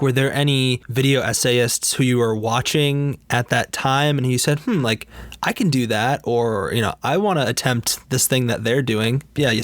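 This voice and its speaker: A man's voice: mid-range voice, no echo. Man asking long thoughtful questions. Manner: thoughtful, rambling questions with hedges. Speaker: interviewer. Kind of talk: interview questions.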